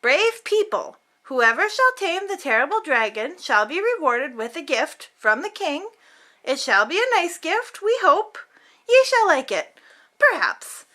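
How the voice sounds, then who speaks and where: A woman's voice: high voice, very animated, a little slow, bright, forceful tone, heavily acted. A woman voicing doubtful storybook villagers making a proclamation with hesitant asides. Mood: hopeful, doubtful.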